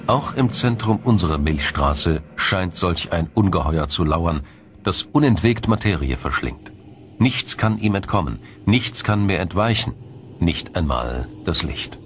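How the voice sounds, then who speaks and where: A man reading scripted documentary narration in a measured, explanatory manner. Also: low-pitched, expressive.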